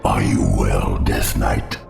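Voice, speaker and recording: An actor playing an ancient, giant undead insect lord in a game cutscene, slow, ominous and formal, with a cavernous gravelly voice. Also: male voice, very deep voice, fairly even intonation, full character voice. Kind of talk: video game cutscene acting. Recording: heavy echo, music behind.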